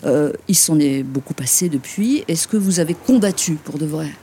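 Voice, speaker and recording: A man hosting a radio interview, chatty and relaxed, with frequent fillers. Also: a little high, moderate pace, slight background noise. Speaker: radio host. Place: outdoors in a city street.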